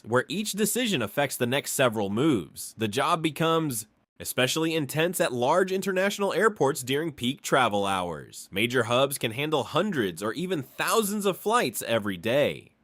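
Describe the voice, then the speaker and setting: A man reading scripted explainer narration in a measured, clearly phrased way. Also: medium pitch, a bit bright, no echo, clean studio sound.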